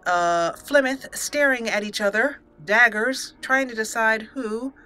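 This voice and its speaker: A woman's voice: on the high side, a little slow, sharp tone. A woman hosting a gaming channel, chatting casually and thinking aloud as she plays. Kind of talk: casual let's play commentary. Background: game music.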